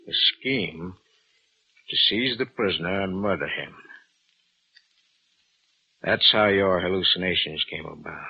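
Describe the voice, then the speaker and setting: A man's voice: low voice, creaky, slightly rough, exaggerated voice. An actor playing an earnest man who urgently pleads and explains, in 1940s radio drama style, on a noisy, dull old recording. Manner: urgent, insistent, emphatic.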